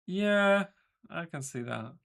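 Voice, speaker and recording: Gravelly-voiced man chatting casually. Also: a little high, expressive, slow, fairly bright tone. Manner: rambling, self-correcting, casual swearing. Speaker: game developer streaming. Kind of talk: casual chat with stream viewers. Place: home room.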